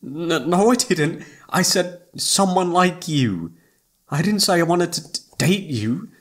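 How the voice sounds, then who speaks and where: A man's voice: a little high, lively intonation, measured pace. A man acting as an informal, emotive young best friend who is secretly in love, in a one-sided roleplay audio drama. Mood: flustered. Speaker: voice actor.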